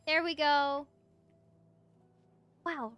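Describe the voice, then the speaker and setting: A woman chatting casually on a livestream as she plays, answering viewers in an expressive, encouraging, effusive way with a mezzo voice. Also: high-pitched, very slow, dull audio. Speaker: VTuber live streamer. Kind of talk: casual livestream chat while gaming, answering viewer comments.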